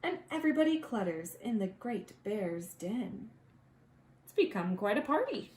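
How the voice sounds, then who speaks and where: A woman reading a children's storybook aloud as a preschool teacher, with expressive delivery and playful asides for young listeners. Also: medium pitch, measured pace.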